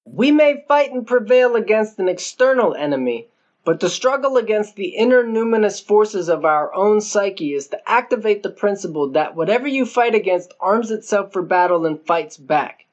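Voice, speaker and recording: A man's voice: sits high, lively intonation, moderate pace, highs cut off. A man narrating a novel as an audiobook, reading from a script.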